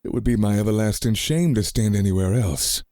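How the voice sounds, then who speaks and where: Gallant, mellow cowboy gentleman played by a man. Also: lowish voice, brisk, dry recording, clean studio sound, lightly acted. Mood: resolute. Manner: courtly, florid, folksy. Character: gallant, florid cowboy gentleman, loyal and bold. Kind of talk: radio play acting.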